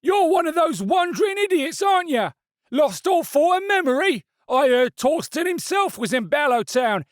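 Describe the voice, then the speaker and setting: A man voicing an angry male fish stallholder who shouts and accuses, in an audiobook. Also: very high-pitched, big pitch swings, normal speed, dry recording, clean studio sound, pitched above the performer's own voice. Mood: scornful.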